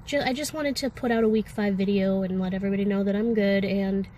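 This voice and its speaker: A woman's voice: medium pitch, fairly even intonation, fast talker, dark tone, slight background noise. A woman with a sore throat and a congested voice, talking candidly and ramblingly in a personal vlog, with many fillers. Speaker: vlogger. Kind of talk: casual personal vlog talk.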